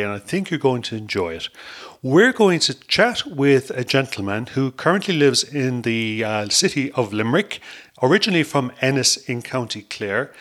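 Man, podcast host, upbeat and chatty, mid-pitched voice, dry close sound. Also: a bit bright, no echo. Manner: upbeat, chatty, with frequent fillers. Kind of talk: podcast interview.

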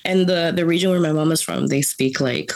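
A young woman from the Bronx, a coffee grader, chatting as a guest in a blunt, profane, animated way and laughing often. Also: low-pitched, brisk. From Bronx, New York. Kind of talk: casual chat.

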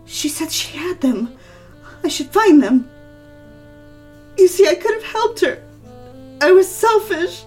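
A woman's voice: very high voice, expressive, slow pace, pauses often, exaggerated voice. A woman acting a distraught, pleading young wife in an audio drama, anxious and full of questions. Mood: agitated, regretful. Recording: music under the voice. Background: music.

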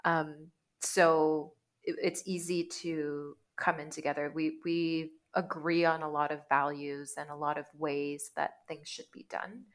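Woman from Canada, thirties, fairly low voice, chatty, lots of "like"s. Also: a little slow. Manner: chatty and animated, many "like"s, laughs easily. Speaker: guest. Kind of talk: casual conversation.

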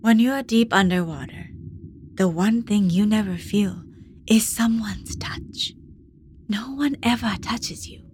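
An actress playing a wistful woman diver who recounts haunting memories in a measured, hushed, intimate audio drama monologue. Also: medium pitch, slight background noise, lightly acted. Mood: tender, wistful. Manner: measured, hushed, reflective storytelling.

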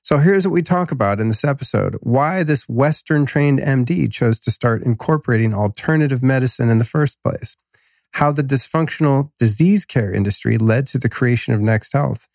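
A man's voice: mid-range voice, moderate pace, creaky, a little mellow, no room sound, clean studio sound. A man hosting a podcast, talking in a laid-back, slangy, enthusiastic way, slightly nasal from a cold. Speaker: podcast host. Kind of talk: casual podcast intro and ad reads.

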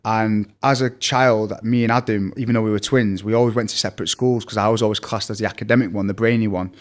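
A young man with a strong Mancunian accent, telling stories as a podcast guest in fast, scattered casual chat. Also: on the low side, steady tone. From Manchester, England. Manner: fast, scattered storytelling with fillers.

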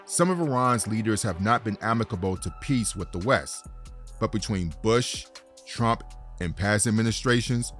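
A man hosting a podcast, narrating a scripted script in an earnest, steady way. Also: lowish voice. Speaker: podcast host. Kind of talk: scripted narration and casual reactions.

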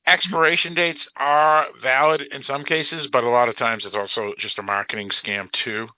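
A man's voice: medium pitch, steady tone, normal speed, dry recording. A man with a Boston accent co-hosting a casual podcast discussion, explaining things in a rambling, hedging way. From Boston area, United States. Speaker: podcast co-host. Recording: dull lo-fi podcast audio.

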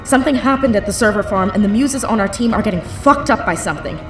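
A woman's voice: mid-range voice, expressive, fast talker, fairly bright tone, close to an everyday voice. A woman playing a wry, casual young hacker on a heist in an audio drama. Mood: flustered, panicky. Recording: roomy echo, music and sound effects underneath.

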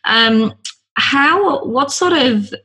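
A woman's voice: sits high, lively intonation, extremely slow, slightly rough. A woman hosting a podcast interview, asking curious, friendly questions and sharing her own stories. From not Australian, lives in Australia. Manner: warm, curious questioning with light laughs. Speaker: podcast host.

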